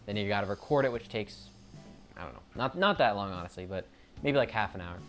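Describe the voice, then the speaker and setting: Fast-talking rambling man. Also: on the low side, expressive, fairly bright tone, faint music behind. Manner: rambling, corrects himself mid-sentence; stutters now and then. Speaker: YouTuber. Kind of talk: unscripted casual monologue to camera.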